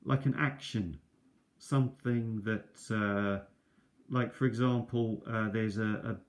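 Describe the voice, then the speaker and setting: Guy with a lowish voice doing a casual rambly review at his kitchen table, kinda hesitant, keeps correcting himself. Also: fairly even intonation, slow. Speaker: host of a cassette collection review video. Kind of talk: unscripted casual product show-and-tell. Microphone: tablet built-in microphone.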